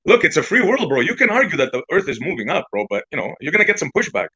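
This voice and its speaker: Male debater, mocking and pushy, animated pitch. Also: quick pace, slightly rough, rough recording. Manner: taunting, calls others bro. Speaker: debate participant. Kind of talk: heated online debate.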